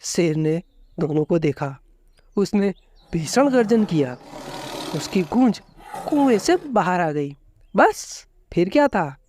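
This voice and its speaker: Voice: mid-range voice, sing-song, slow, long pauses, a little mellow, a little hiss or hum. A storyteller giving a scripted narration of a children's fable.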